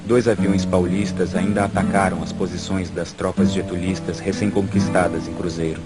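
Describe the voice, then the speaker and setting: Very deep-voiced Brazilian man narrating. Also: level tone, moderate pace. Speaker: documentary narrator. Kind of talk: scripted historical documentary narration. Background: loud background music.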